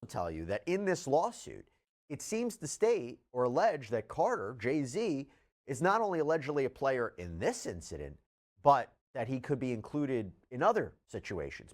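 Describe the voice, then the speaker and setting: Male legal news presenter, mid-range voice, articulate and emphatic, formal scripted delivery. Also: mid-range voice. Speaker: legal news show host.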